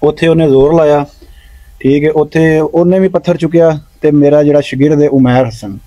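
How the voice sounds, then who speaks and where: A man's voice: a little high, fairly even intonation, fairly quick, dark tone, no room sound. A man, a stone-lifting champion and coach, answering interview questions earnestly, recorded outdoors on a lawn at night. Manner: earnest, peppers speech with "theek hai".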